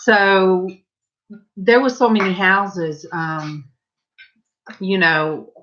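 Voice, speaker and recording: A woman's voice: mid-range voice, fairly even intonation, extremely slow, fairly bright tone, highs cut off. A middle-aged woman with a Southern US accent, chatting casually at home and rambling to her viewers while she paints. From Southern California. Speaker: vlogger. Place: home, indoors.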